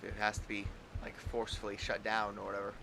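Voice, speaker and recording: A man chatting casually as he livestreams a game, halting and repeating his words, with mild exclamations. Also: lowish voice, monotone, creaky, background noise. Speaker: game streamer. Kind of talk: casual livestream chat and commentary.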